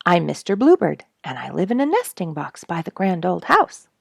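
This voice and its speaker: A woman voicing a curious, polite storybook father bluebird in an animated, exclaiming way. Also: fairly low pitch, moderate pace, creaky, dry recording, studio quality, heavily acted. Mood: friendly.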